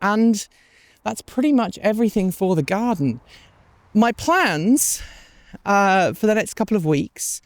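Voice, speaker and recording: A woman strolls through her garden and chats, unscripted and rambling, with plenty of ums along the way. She is sniffly from a lingering cold. Her voice is mid-pitched and sits close and dry on the mic. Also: animated, unhurried, no room sound. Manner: chatty, rambling, with many ums. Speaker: vlog host. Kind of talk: casual unscripted garden vlog; scripted outro thanking supporters. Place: outdoor garden.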